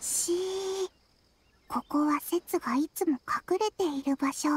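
A woman voicing a sleepy, secretive little ninja girl in an anime game, drawling drowsily. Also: very high-pitched. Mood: drowsy, secretive. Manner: drowsy, drawn-out.

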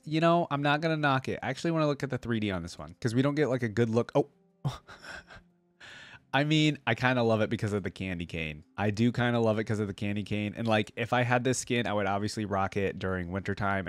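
A man's voice: on the low side, no echo. A man, around his thirties, giving casual, chatty reaction commentary and thinking aloud informally into a close desk microphone. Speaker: reaction video creator. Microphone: close desk microphone with headset.

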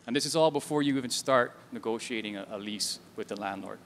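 A man's voice: medium pitch, fast, gravelly, a bit bright, slight background noise. A man in his forties with an American accent giving a seminar talk from a lectern, speaking conversationally with frequent restarts. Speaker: real estate lawyer giving a talk. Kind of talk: seminar presentation. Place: large indoor event hall with a stage and projector. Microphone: handheld microphone at a lectern. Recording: reverberant hall, mic heard from a distance.

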